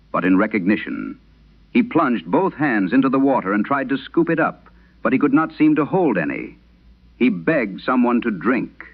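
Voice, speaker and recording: Man playing grave, deep-voiced aging priest exorcist. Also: gravelly, narrow, tinny audio, exaggerated voice. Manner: grave, measured, solemn. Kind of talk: dramatic first-person horror radio storytelling.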